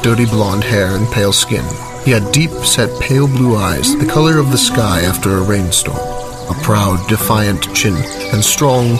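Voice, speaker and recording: A man reads scripted fantasy narration as a storyteller. His voice sits fairly low, and music plays clearly behind it throughout.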